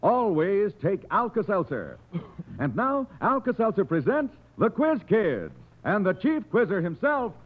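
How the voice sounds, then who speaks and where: A man's voice: sits high, big pitch swings, unhurried, phone-quality sound. A man announcing a commercial and show opening in old-time radio style on a vintage recording. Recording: noisy band-limited vintage radio recording.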